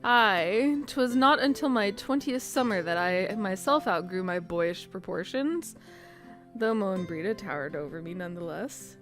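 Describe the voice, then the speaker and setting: A woman's voice: medium pitch, measured pace, faint music behind, natural voice. Woman as a solemn, old-fashioned elf man. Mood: wistful. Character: solemn, archaic-spoken tall elf man.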